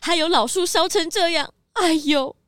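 A woman's voice: very high-pitched, normal speed, a bit bright, no room sound. A woman voicing a worried, chattering village woman in a children's radio drama.

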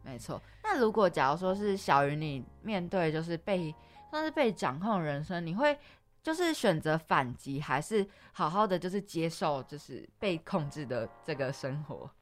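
Female podcast co-host, casual talk; slightly low, lively intonation, music behind. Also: normal speed. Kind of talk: casual discussion. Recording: background music under speech.

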